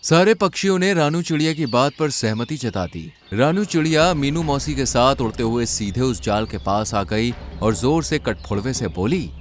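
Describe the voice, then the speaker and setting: A man's voice: medium pitch, lively intonation, moderate pace, few pauses, a little hiss or hum. A man narrating a scripted children's story as its storyteller.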